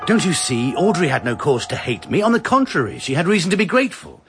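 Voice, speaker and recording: An actor playing an emotional, defensive ex-husband in a radio drama. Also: male voice, on the high side, sing-song, fast, faint music behind. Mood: agitated. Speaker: Neville Strange. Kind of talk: BBC radio drama acting.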